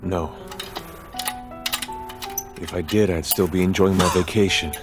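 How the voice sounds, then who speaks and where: Deep-voiced, deadpan male action hero, laconic wisecracker for animated film, music underneath. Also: unhurried. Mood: dry, unbothered.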